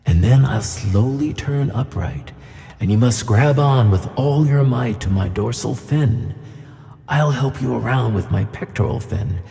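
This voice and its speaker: A man's voice: medium pitch, measured pace, vocal fry, mellow. A man playing a kindly, reassuring magical tuna fish who flies, in a children's audio play. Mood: calm instructing. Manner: reassuring, tender. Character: gentle flying tuna fish, male, kindly magical friend. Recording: long reverb on the voice.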